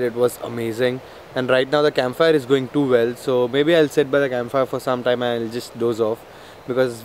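A man's voice: medium pitch, slight background noise, rough recording. A young man in his twenties with an Indian English accent, chatting to viewers in a casual travel vlog, filmed outdoors on a handheld camera. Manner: chatty, addresses viewers as guys, frequent uh fillers. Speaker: travel vlogger. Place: outdoors at a beach campsite. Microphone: camera mic, handheld selfie framing.

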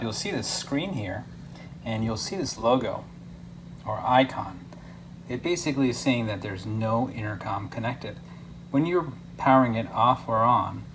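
Man giving casual step-by-step tutorial, noisy background. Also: fairly low pitch. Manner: instructional, step-by-step. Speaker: product demonstrator. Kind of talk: hands-on tutorial narration, casual. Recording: clear background noise, room ambience.

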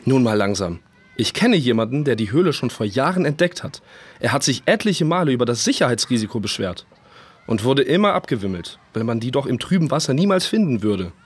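An actor playing a calm but worried father in a children's audio drama. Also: mid-range voice, a little fast, breathy edge. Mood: calm, concerned.

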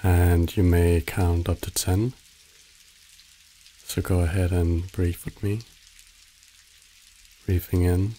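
A man narrating a guided meditation in a soothing, measured way, giving short instructions with long pauses. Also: low voice, flat delivery, mellow, a little hiss or hum, low-quality recording.

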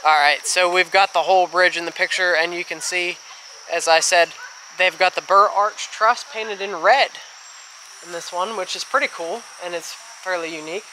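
A man's voice: high-pitched, normal speed, sharp tone, a little hiss or hum, rough recording. A man in his thirties vlogging outdoors by a covered bridge, chatting to viewers as friends while filming himself at arm's length. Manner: chatty, explains as he goes, addresses viewers as friends. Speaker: travel vlogger. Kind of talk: casual walk-and-talk vlog. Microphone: handheld camera at arm's length.

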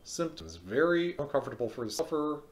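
A man streaming a video game live, thinking aloud in a relaxed way with frequent ums. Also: a little high, fast talker. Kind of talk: live let's-play commentary.

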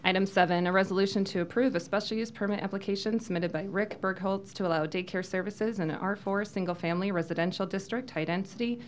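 Brisk woman clerk reading an agenda. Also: medium pitch, slight background noise. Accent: American Midwest. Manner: brisk, matter-of-fact reading. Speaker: city clerk. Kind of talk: reading agenda items and roll call. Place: large city council chamber. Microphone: desk microphone.

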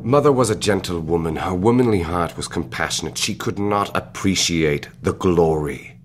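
An actor playing a proud, hot-headed young would-be knight in a historical radio drama, fervent and declamatory. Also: male voice, deep voice, normal speed, a little hiss or hum, natural voice. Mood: proud, defiant. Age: young adult.

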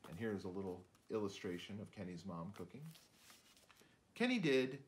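A man in his fifties narrating a children's book aloud in an expressive, theatrical way. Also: low-pitched, moderate pace. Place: indoors, book-lined room.

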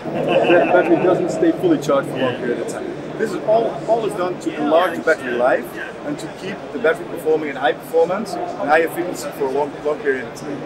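A man's voice: fairly high pitch, lively intonation, over a noisy crowd. A man in his thirties demonstrating a product at a trade stand in a large exhibition hall, often restarting his words. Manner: halting, many repeated word restarts. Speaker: company representative. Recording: loud exhibition hall noise.

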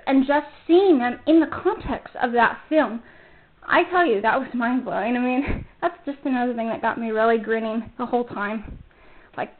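A woman hosting a casual solo fan podcast, talking in a gushing, enthusiastic fangirl manner. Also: high voice. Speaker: podcast host. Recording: muffled phone-like narrow-band sound.

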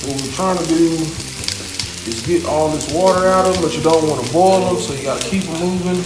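A man's voice: fairly high pitch, fairly quick, noisy background. A man in his thirties hosting a home cooking video, talking casually and joking with viewers as he cooks. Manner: relaxed, joking, talks straight to viewers. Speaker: home cooking show host. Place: large home kitchen indoors. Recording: echoey kitchen with loud music. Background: music and sizzling pan.

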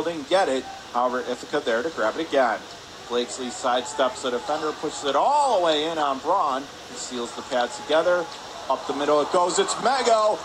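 A man giving live radio-style hockey play-by-play in clipped phrases, getting excitable on big plays. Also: high voice. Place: indoor ice hockey arena. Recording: clear rink noise behind. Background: arena ambience and noise.

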